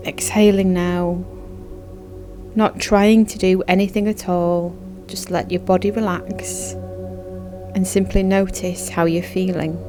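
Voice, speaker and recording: A woman narrating a guided meditation in a soothing, measured, instructive manner. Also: fairly low pitch, little pitch movement. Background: background music.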